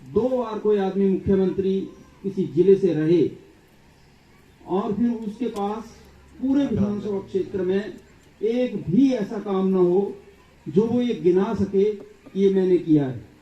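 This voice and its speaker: A man with a high voice gives a political speech to a public gathering. He builds his case through long, repeated lists. The sound is distant, echoey and dull. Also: measured pace. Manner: rhetorical, repetitive lists. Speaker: political speaker.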